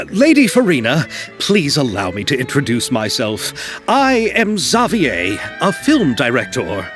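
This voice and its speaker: An actor playing a polite, earnest and anxious film director in a video game, speaking formally. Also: male voice, a little high, wildly swooping pitch, takes it slowly, exaggerated voice. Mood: nervous, formal. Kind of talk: English video game voice acting. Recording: echoing reverb, faint music behind.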